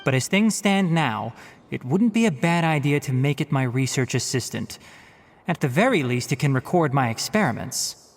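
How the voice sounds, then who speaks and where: A man's voice: medium pitch, lively intonation, normal speed, lightly acted. A man voicing a stern, scholarly young male forest ranger who speaks in a precise, lecturing way, in a game cutscene. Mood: earnest. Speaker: forest watcher.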